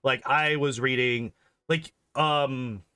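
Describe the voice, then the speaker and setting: A man's voice: mid-range voice, steady tone, takes it slowly, sharp tone, clear, resonant tone, no room sound, studio quality. A man chatting casually as a streamer, rambling and repeating phrases emphatically to make his points. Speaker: game streamer and video host. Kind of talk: casual rambling livestream chat.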